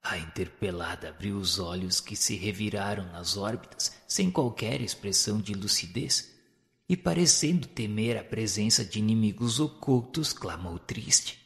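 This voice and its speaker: A man giving a solemn, measured narration of a spiritual novel in a radio drama. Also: lowish voice, gravelly, a bit bright, big-room sound. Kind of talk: scripted literary narration.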